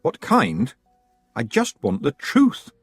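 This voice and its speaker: A stern young witch girl speaks curtly and scolds, in a slightly raised voice, acted by a male narrator. Her pitch moves in a lively way. She is clearly a storybook character. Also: slow pace, dry recording, faint music behind, heavily acted. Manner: curt, scolding.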